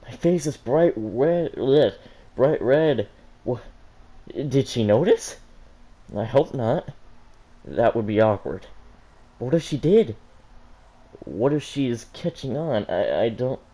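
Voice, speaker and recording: A flustered teenage girl in a story, acted by a man, anxious and stammering. The voice is pushed up a little from a low, mellow base and blurts things out in bursts. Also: lively intonation, takes it slowly, pauses often. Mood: panicked, embarrassed.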